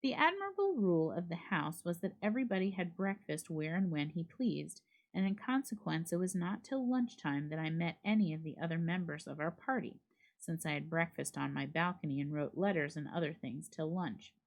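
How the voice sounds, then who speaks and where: A woman reads a literary horror tale aloud in a slightly low voice. Her storytelling is measured and expressive, delivered as a dramatic reading. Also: creaky. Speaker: library staff reader.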